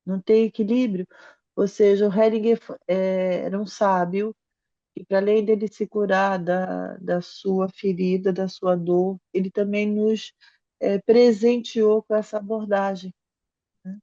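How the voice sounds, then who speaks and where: A middle-aged woman from Brazil with a Brazilian Portuguese accent, a therapist talking as a guest in a casual video call interview, reflective, in short phrases with pauses. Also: medium pitch, level tone, mellow, no echo, dull audio. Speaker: guest, family constellation therapist.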